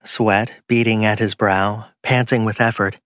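A man's voice: on the low side, level tone, a little slow, fairly bright tone, dry recording, clean studio sound. A man narrating a crime fiction story from a script as an audiobook reader.

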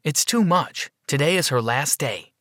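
A man's voice: on the high side, expressive, a little slow, a bit bright, no room sound, clean studio sound, voice pushed up. A man voicing a practical, fretful middle-aged mother in a narrated story, insistent and questioning. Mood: firm, worried.

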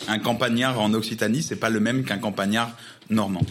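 A man's voice: lowish voice, steady tone, brisk, fairly bright tone, a little hiss or hum. A man, a podcast co-host, in casual drinking chat, joking and mocking his friends.

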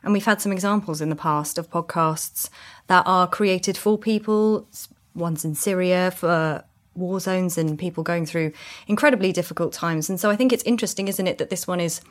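A woman's voice: fairly low pitch, normal speed. A British woman co-presenting a podcast in a chatty way, often ending statements with a tag question. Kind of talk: casual discussion of podcasting.

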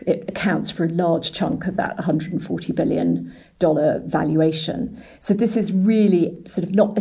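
A woman's voice: on the low side, vocal fry, mellow, husky. A woman working as a journalist and editor, giving explanatory answers in an interview. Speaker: business and technology editor.

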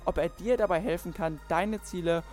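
A man's voice: high voice, a little mellow. Upbeat man reading an outro over music. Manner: punchy, salesy, quick. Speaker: podcast outro announcer.

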